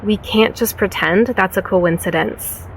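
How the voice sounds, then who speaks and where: An actress playing a serious, weary small-town sheriff in an audio drama, measured and authoritative. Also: female voice, medium pitch, creaky, rough recording. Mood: thoughtful, serious. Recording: faint vehicle ambience noise.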